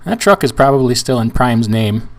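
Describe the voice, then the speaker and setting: Hoarse man explaining a tax form. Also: medium pitch, animated, normal speed. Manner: casual, rambling with restarts and fillers. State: hoarse, weak voice. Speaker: trucking channel host. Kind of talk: screen-share tutorial walkthrough.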